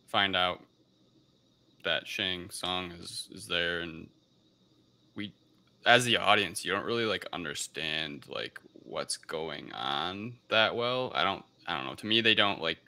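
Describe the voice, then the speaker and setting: A man co-hosting a casual film review podcast, speaking in a hesitant, halting way as he searches for words. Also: deep voice, sharp tone. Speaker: podcast co-host.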